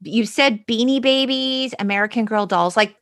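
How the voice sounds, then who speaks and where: A woman's voice: on the high side, normal speed, a bit bright, no echo, clean studio sound. A woman in her forties co-hosting a podcast with informal, emphatic, chatty delivery. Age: 40s. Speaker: podcast co-host. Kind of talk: casual chat with a friend, some scripted intro.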